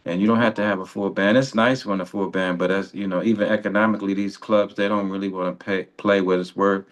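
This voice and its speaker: A man's voice: sits low, flat delivery, quick pace. A man hosting a casual music interview in a conversational, encouraging way.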